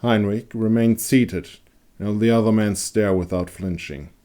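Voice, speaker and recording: A male storyteller with a very low voice reads dark fantasy fiction. The delivery is dramatic and scripted, in the manner of an audiobook. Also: fairly even intonation, moderate pace. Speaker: author and narrator.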